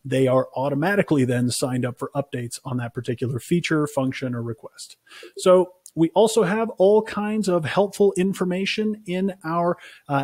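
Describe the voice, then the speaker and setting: A man in his thirties giving a casual, conversational software demo as a customer support presenter, recorded on a close mic. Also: a little high, no echo. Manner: informal, conversational explaining.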